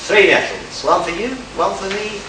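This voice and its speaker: A man's voice: high-pitched, lively intonation, normal speed. Man playing hard-boiled space detective, smug, declamatory, amateur radio play, heavily echoing room, noisy distant recording. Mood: smug, triumphant. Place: live convention stage, indoors.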